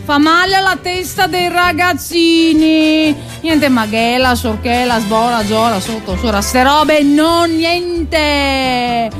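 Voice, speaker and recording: Stage drama: actress as bossy needling woman, piercingly high, unhurried, sing-song and repetitive, music underneath. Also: steady tone. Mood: mocking, chatty. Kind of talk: stage monologue-style theatre acting.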